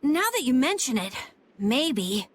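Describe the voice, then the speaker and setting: A woman voicing an earnest, excitable young boy in a guild, in a video game. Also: high voice, slow pace, full character voice. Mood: thoughtful.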